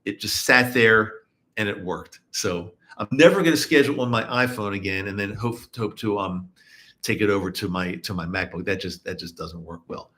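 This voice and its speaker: A man's voice: on the low side, moderate pace, fairly bright tone. A middle-aged man from the US East Coast hosting a live podcast at home, chatting casually with listeners and often repeating himself. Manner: rambling, repeats words and restarts often. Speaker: podcast host. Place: home, in Utah.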